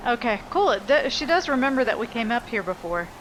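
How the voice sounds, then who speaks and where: A woman's voice: fairly high pitch, big pitch swings, fairly quick, a bit bright, a little hiss or hum, low-quality recording. A woman giving casual commentary as she plays a video game, talking to herself and swearing offhandedly. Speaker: video game player recording a playthrough.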